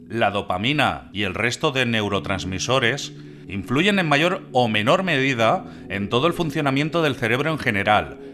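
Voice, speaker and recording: A man at a mid-range pitch narrates a scripted explainer as a channel host. He lays out points in a measured, explanatory way and throws rhetorical questions at the listener, with clear background music playing under him. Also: pushed and bright. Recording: clear music bed behind the voice.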